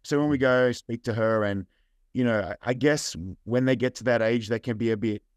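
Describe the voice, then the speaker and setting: A man's voice: mid-range voice, steady tone, normal speed, clean tone, dry recording, studio quality. A young adult man with an Australian accent, chatting as a guest in a casual, rambling way with lots of fillers. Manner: laid-back, rambling with fillers. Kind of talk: casual interview.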